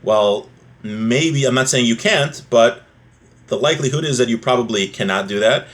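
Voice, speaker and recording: A man's voice: mid-range voice, normal speed. A man giving an informal religious lesson, explaining a text in a conversational way and often checking in with the listener. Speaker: religious teacher.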